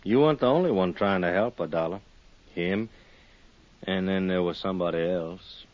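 An actor playing a blunt, hard-nosed police lieutenant with a world-weary manner, in 1950s radio drama style. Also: male voice, sits low, moderate pace, natural voice. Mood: subdued, matter-of-fact. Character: gruff, hard-nosed male police lieutenant, reluctantly respectful. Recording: dull, noisy 1950s radio recording.

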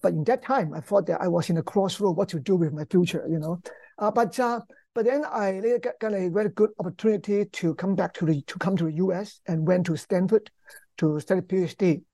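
An older man with a Hong Kong accent, a professor speaking conversationally in an interview, halting and repeating words. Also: high-pitched, fairly even intonation, warm tone, dry recording. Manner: halting, repeats words, non-native English phrasing. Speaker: history professor, author being interviewed.

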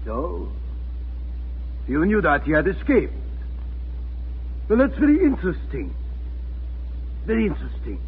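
An actor playing a smug, menacing German occupation colonel in a 1940s radio drama, imperious and sardonic. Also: male voice, high-pitched, husky, exaggerated voice. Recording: scratchy, phone-like 1940s radio recording.

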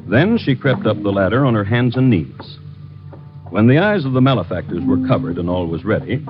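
A man acting a grave male chronicler of crimes on a 1950s radio drama. His delivery is formal and florid, with a widely swinging pitch. The old recording is muffled, with prominent music behind him. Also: close to an everyday voice. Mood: solemn. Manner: formal, portentous, florid storytelling. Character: grave, theatrical male crime chronicler reporting historic cases. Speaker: narrator and host.

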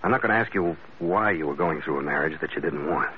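An actor playing a tough, calm skipper turned detective in an old radio drama, terse and matter-of-fact, narrating and speaking in character. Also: male voice, very deep voice, fast talker. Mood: steady, probing. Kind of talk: 1940s-50s radio drama acting, first-person narration and dialogue. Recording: muffled, noisy old radio recording.

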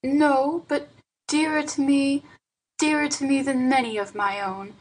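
A woman voicing a frail, wistful old aunt in a story reading, with a husky, plaintive, pleading voice. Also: high-pitched, steady tone, measured pace, low-quality recording. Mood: tearful, weary. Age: older.

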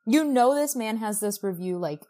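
A woman's voice: a little high, expressive, moderate pace, warm tone. Casual, wry woman podcaster. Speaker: podcast co-host. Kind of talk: casual book-recap chat with quoted asides.

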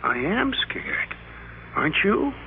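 Timid henpecked american clerk, high swoopy voice, thin old radio sound. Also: male voice, big pitch swings, takes it slowly, creaky, narrow, tinny audio, heavily acted. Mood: anxious. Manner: hesitant, apologetic, stammering. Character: meek, henpecked, timid male office clerk. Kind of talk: old-time radio drama acting. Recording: thin, noisy vintage radio recording.

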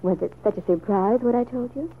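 A woman's voice: on the high side, fairly quick, husky. An actress playing an earnest, resolute young woman seeking approval in an old-time radio serial. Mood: earnest, determined. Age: young adult. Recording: dull, lo-fi vintage radio recording.

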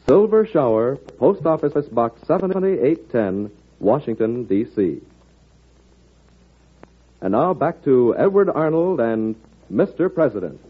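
Over a thin vintage broadcast, an American man delivers a scripted appeal as an announcer. His slightly rough, husky voice moves with lively intonation, earnest and deliberate. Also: mid-range voice, narrow, tinny audio. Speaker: radio announcer. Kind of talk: 1940s radio charity appeal, scripted. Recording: thin band-limited old radio recording.

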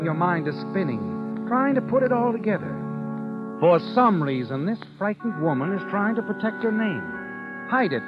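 An all-knowing, insinuating narrator in old-time radio style. His fairly high voice swings dramatically as he needles his listener. Music plays behind the muffled recording. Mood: ominous. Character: insinuating, omniscient male narrator addressing the schemer.

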